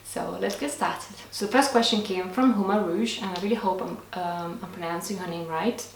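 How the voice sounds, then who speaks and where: A woman's voice: fairly low pitch, moderate pace, far from the mic, a little hiss or hum. A young woman whose first language is Lithuanian, chatting earnestly to camera as she answers viewer questions, with small restarts. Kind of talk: casual to-camera Q&A. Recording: echoey room, voice off-mic.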